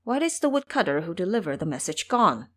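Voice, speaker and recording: A woman's voice: fairly low pitch, big pitch swings, brisk. A woman voicing a timid, fretful elder monk master in an audio drama, anxious and chiding. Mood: puzzled.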